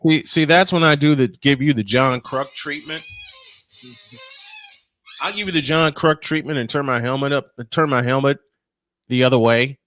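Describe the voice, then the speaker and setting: A man's voice: medium pitch, moderate pace, pauses often, fairly bright tone, no echo, faint music behind. A man calling baseball play-by-play and bantering casually as a sportscaster.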